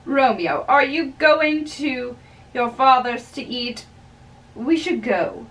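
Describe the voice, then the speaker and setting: A young woman giving a theatrical, deliberate dramatic reading of play dialogue. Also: sits high, expressive, fairly bright tone. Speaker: amateur reader of a play. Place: small bedroom, indoors. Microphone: camera a few metres away. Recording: distant camera in a bedroom.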